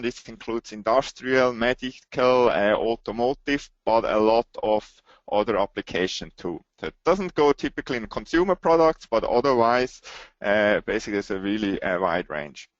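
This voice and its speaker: Swiss-accented male presenter, mid-pitched, halting delivery, dry close sound. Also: animated, no room sound. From Switzerland. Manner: halting, with many hesitation fillers. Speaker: webinar presenter. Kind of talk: technical webinar presentation. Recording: dull, dry lo-fi audio.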